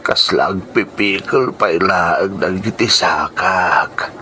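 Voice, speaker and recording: Feeble, groaning old grandfather, very slow. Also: sits low, bright, forceful tone, heavily acted. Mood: weary, weak. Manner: slow, halting, labored. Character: weak, groaning old grandfather just out of surgery. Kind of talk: radio drama acting. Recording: faint background noise.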